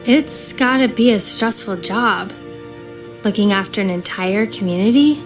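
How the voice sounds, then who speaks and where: An actress playing a gentle, hopeful female survivor in a video game, speaking in a mild, conversational way. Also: mid-range voice, unhurried, over music, close to an everyday voice. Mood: concerned.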